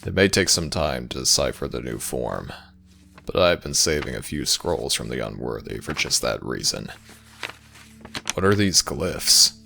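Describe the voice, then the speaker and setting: Extremely deep, gravelly jackal god. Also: male voice, expressive, a little slow, pushed and bright, slight background noise, exaggerated voice. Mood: grave, reserved. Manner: grave, formal, humourless. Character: stern, brooding male jackal god of the underworld. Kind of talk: scripted fantasy audio roleplay.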